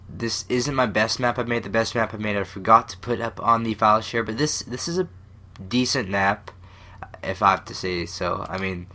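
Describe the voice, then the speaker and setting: A man's voice: lowish voice, pushed and bright. Stuffy-nosed young man rambling over gameplay. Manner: rambling, self-deprecating, many fillers and restarts. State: stuffy, congested. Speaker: gaming channel host. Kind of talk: casual gameplay commentary.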